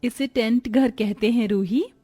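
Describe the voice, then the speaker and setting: A woman's voice: on the high side, measured pace, mellow, slightly rough, faint music behind. A woman voicing a poor, long-suffering, plaintive family woman in a cartoon story. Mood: weary. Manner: plaintive, lamenting. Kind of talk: Hindi cartoon story acting.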